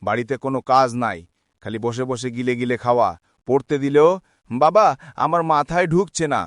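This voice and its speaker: A man's voice: medium pitch, fairly quick, no room sound, clean studio sound, lightly acted. A man voicing a short-tempered woodcutter father in a cartoon, scolding and then calling out desperately. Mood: angry. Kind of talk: cartoon voice acting.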